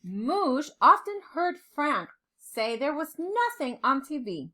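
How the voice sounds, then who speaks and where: A woman reading a children's storybook aloud like a teacher, expressively and deliberately, with dramatic pauses. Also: high voice, very animated, pushed and bright.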